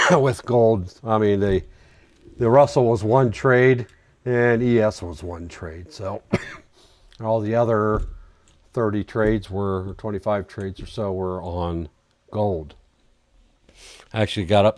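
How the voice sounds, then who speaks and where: A man's voice: on the low side, a little mellow, no echo. A man hosting a live trading stream, chatting casually and answering viewer questions while he reads charts, his voice getting worn out. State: losing his voice. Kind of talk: casual live market commentary.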